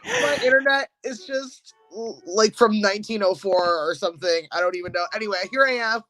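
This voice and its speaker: A woman's voice: mid-range voice, a little fast, a bit bright, no room sound, soft background music, rough recording. A woman starting a funny story on a video call, chatty and a bit nervous, laughing through her words. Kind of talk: casual comic storytelling.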